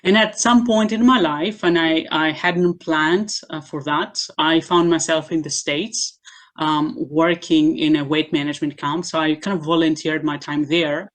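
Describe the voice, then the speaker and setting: Low-voiced Greek-born woman, measured and hesitant. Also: steady tone. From Greece. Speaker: guest, assistant professor. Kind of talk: casual interview answers. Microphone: video call.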